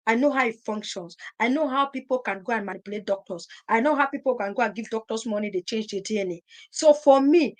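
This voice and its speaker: A woman hosting a live stream, talking emphatically, repeating her points and firing rhetorical questions. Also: fairly high pitch. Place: at home in Europe.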